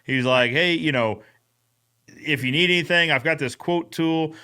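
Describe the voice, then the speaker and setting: Casual man chatting, slightly higher voice. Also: moderate pace, bright, forceful tone, smooth. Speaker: podcast co-host. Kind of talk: casual recap chat.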